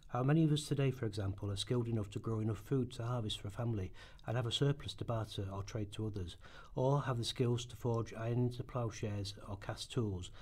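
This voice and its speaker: A man's voice: on the low side, a little mellow, breathy edge. A man narrating a scripted historical radio play in a formal, earnest manner.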